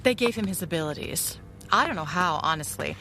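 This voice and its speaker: An actress playing a composed, guarded widowed mother in an audio drama, speaking in a measured, conversational way. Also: on the low side, expressive, bright, forceful tone, faint music behind.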